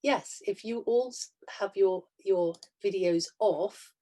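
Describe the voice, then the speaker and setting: A woman's voice: mid-range voice, takes it slowly, dark tone, a little hiss or hum. A woman chattily and encouragingly welcoming an online audience over a video call, as director and announcer of an amateur show.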